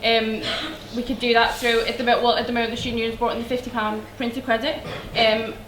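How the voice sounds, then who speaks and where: A young woman with a Liverpool accent giving an earnest election speech, with many pauses and restarts. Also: a little high, level tone. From Liverpool, England. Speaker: election candidate. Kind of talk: hustings speech. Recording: echoey hall, faint background noise.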